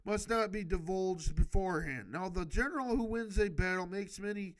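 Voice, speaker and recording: A man's voice: high-pitched, normal speed. A man reading a book aloud for a homemade video, stumbling and correcting himself, adding emphatic, casual exhortations of his own. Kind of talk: halting read-aloud with improvised casual commentary.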